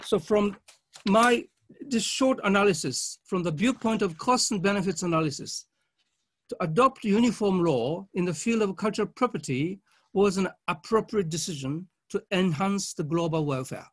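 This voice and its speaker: High-pitched man giving a formal academic talk. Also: lively intonation. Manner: measured, halting delivery in short phrases. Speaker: conference speaker, legal academic. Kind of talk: formal academic conference presentation read with slides.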